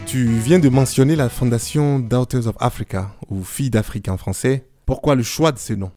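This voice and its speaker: Mellow-voiced man hosting a podcast. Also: mid-range voice, normal speed, soft background music. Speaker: podcast host. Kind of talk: interview questions.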